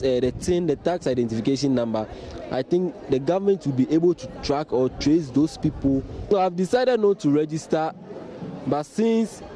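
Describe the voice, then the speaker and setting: A man's voice: a little high, dark tone. A man with a Ghanaian accent giving his opinion in a casual outdoor street interview. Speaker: member of public in street interview. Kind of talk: casual vox pop. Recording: busy street crowd noise.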